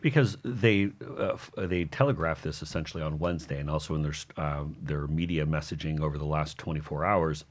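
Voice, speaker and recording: A man with a low voice speaks as a guest commentator. He delivers his political talking points confidently, with a brisk and practiced rhythm.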